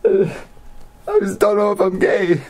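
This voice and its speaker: A young man with a slightly high voice talks on a prank call. He stammers and hesitates, putting on a naive, distressed act as he goes. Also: flat delivery, a little slow, a little mellow. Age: young adult. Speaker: prank caller. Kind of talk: improvised prank phone call in character. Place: small bedroom, indoors.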